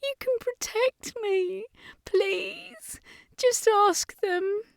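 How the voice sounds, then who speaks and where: Woman acting a high-voiced, tearful, pleading young girl. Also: lively intonation, extremely slow, vocal fry, no room sound, studio quality, exaggerated voice. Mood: pleading, hurt. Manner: sobbing, desperate pleading.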